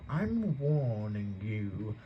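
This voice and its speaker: A man reading an audiobook voices a grumpy, blustering uncle who growls out slow threats. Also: on the low side, sing-song, highs cut off, slight background noise, full character voice. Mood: gruff. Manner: gruff, drawn-out threats.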